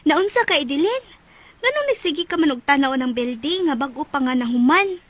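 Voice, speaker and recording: A caring, nosy female confidante from a Cebuano radio drama. She has a high voice and a Cebuano accent, and talks in a chiding, motherly way. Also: expressive, brisk, a bit bright, faint music behind. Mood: teasing. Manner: chiding, motherly advice-giving. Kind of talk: Bisaya radio drama acting.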